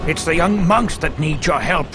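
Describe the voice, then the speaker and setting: A man's voice: fairly high pitch, normal speed, natural voice. A man voicing an old hermit owl, weary and pleading. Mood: urgent. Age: older. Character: elderly male hermit owl, weary and earnest. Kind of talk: video game cutscene acting. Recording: game audio with loud music behind.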